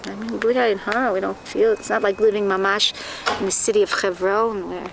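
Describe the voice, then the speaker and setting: A woman being interviewed, chatting casually and quickly, sounding exasperated. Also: medium pitch, steady tone, gravelly, noisy background. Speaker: settler interviewee. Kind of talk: casual chat.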